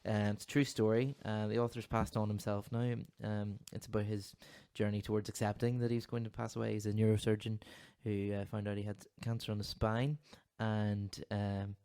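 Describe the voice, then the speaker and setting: A man's voice: fairly low pitch, flat delivery, normal speed, hardly any pauses, warm tone, clean tone. A Northern Irish man co-hosting a casual podcast, rambling and restarting his sentences, with a slight cough. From Northern Ireland. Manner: rambling, self-interrupting, laughs easily. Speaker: podcast co-host, counsellor. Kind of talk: casual chat.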